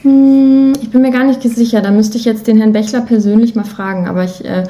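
A woman's voice: on the high side, steady tone, brisk, dark tone. A woman talking casually and informally as a musician guest in an interview, with an enthusiastic manner. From Germany. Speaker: podcast guest, musician and singer.

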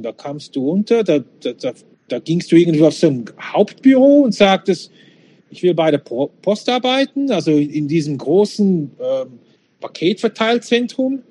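Male, fifties, non-native German speaker, candid casual storyteller, highly varied intonation, slightly high register. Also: darkish tone. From Switzerland, born in Czechoslovakia. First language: not German. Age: 50s. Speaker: interview guest. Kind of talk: casual reminiscing conversation.

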